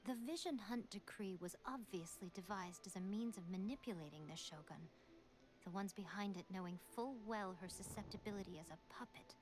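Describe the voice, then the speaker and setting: A woman's voice: medium pitch, a little fast, close to an everyday voice. A woman voicing an elegant, sly and self-assured fox shrine maiden in a video game, speaking with poised, teasing condescension. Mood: serious, explaining. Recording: game stream with background noise.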